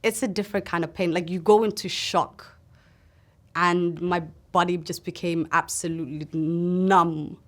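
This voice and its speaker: A woman from Somalia gives personal testimony in a sit-down interview. Her voice sits fairly low. She talks in an earnest, halting way, pausing as she recalls things. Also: fairly even intonation. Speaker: interview guest.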